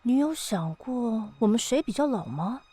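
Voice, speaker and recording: A woman's voice: on the high side, expressive, moderate pace, dark tone, husky. A woman playing a mysterious ancient being of the lake in an audio drama, casual and teasing with a wistful side. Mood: nonchalant. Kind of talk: audio drama dialogue acting. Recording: studio voice over background music.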